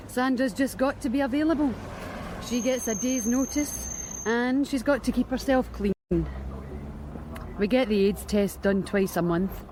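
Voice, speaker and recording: An actress playing a blunt, streetwise young street worker with a Scottish accent in a radio drama, wry and matter-of-fact. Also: female voice, on the high side, mellow, a little hiss or hum, lightly acted, poor audio. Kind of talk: BBC radio drama acting.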